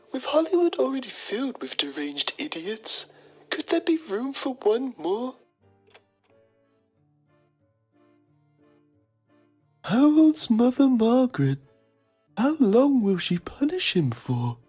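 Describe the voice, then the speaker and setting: A woman's voice: a little high, very animated, unhurried, breathy edge, soft background music, full character voice. A woman voicing a pompous, lecherous male journalist who narrates a mock documentary in a game with self-important drama. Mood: dramatic, intrigued.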